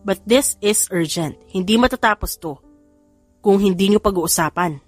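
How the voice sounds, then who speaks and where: A woman's voice: medium pitch, normal speed, no room sound, close to an everyday voice. A woman with a Filipino accent playing a serious, insistent older brother in an audiobook. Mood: urgent, serious. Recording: background music under the voice.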